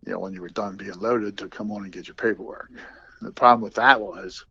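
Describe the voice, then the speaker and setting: A man's voice: steady tone, brisk, gravelly, sharp tone, husky. An older man, a veteran trucker, chatting casually as a guest in a plain-spoken way. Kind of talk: casual chat, reminiscing. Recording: dull, muffled low-fidelity audio.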